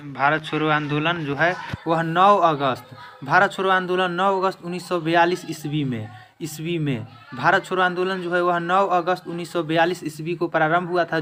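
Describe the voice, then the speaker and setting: A man's voice: a little high, pushed and bright, slight background noise. A man teaching an exam-prep lesson in question-and-answer form, emphatically repeating each answer.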